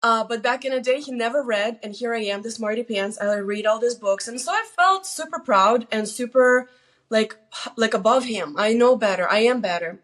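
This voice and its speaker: A woman in her forties from Lithuania, a guest telling personal stories casually in an animated, self-deprecating way. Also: on the high side, moderate pace. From Lithuania, moved to the US as a teen. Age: 40s. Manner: animated, self-deprecating, quotes herself and others. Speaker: guest on her daughter's show.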